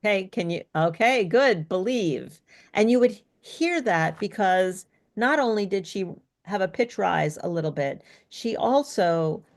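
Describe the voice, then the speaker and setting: A woman's voice: mid-range voice, animated, a little slow. A woman speech coach leading an online workshop, explaining and praising participants in an encouraging way. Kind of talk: interactive online workshop teaching.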